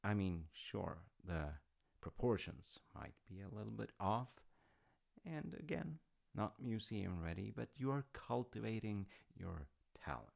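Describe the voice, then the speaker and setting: A man's voice: on the low side, big pitch swings, unhurried, vocal fry, darkish tone, full character voice. A man playing an affectionate, proud dad in an audio roleplay, rambling through stories in a musing, conversational way with hesitant asides. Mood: musing, fond. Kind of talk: intimate rambling storytelling roleplay.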